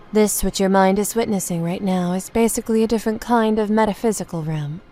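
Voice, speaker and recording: A woman's voice: mid-range voice, darkish tone, lightly acted. Woman acting a solemn otherworldly female guru over music. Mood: calm explaining. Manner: measured, solemn, explanatory delivery. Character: serene mystical woman guru from another realm, gravely explaining. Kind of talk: audio comic book drama acting. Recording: music under the voice.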